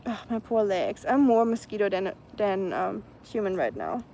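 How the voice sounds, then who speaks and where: A woman from Germany with a German accent, chatting casually and softly outdoors, with many ums. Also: mid-range voice, sing-song, moderate pace, slight background noise. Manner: soft-spoken, chatty, many ums, laughs lightly. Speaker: vlogger. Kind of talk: casual live chat outdoors. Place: outdoors in the evening, nature sounds around.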